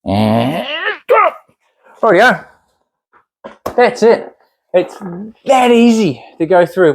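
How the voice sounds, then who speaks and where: A man with a New Zealand accent demonstrating a home workout, chatting encouragingly and counting reps aloud while he exercises. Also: on the high side. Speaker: fitness instructor.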